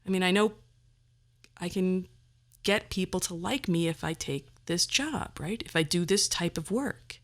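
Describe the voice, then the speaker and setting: A woman's voice: fairly low pitch, a little slow. A middle-aged woman hosting a podcast, delivering a personal monologue in a wry, self-deprecating and theatrically emphatic way. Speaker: podcast host.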